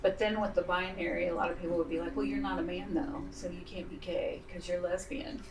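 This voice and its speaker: A woman's voice: lowish voice, fairly even intonation, fairly quick, very noisy. A non-binary speaker with a woman's voice, in their fifties, from Missouri, reflecting in an interview. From Missouri, USA. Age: 50s. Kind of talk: documentary interview. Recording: roomy, distant mic.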